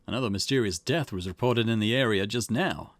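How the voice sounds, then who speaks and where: A man voicing an excitable junior police detective, a reactive and casual sidekick in a mystery game. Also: on the low side, a little fast, no room sound, close to an everyday voice. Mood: urgent, coaxing.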